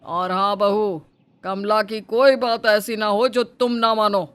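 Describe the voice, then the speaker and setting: A man's voice: high voice, level tone, slow pace, fairly bright tone, clean tone. An actor playing a stern, domineering father-in-law in a family story, lecturing and reproachful. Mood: scolding.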